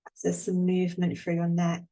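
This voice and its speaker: A woman with a slightly low-pitched voice guides a relaxation meditation. She speaks calmly and soothingly, leaving unhurried pauses between her gentle instructions.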